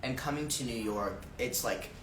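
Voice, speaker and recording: A man's voice: medium pitch, normal speed, a bit bright, distant mic. A young man with an American accent talks casually and expressively as a guest on a live stream. He sits some way from the mic in an echoey room, with a faint hiss behind him. His manner is informal and exclamatory. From Chicago area, United States. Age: young adult. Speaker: interview guest. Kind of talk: casual chat. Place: indoor room, live social media stream.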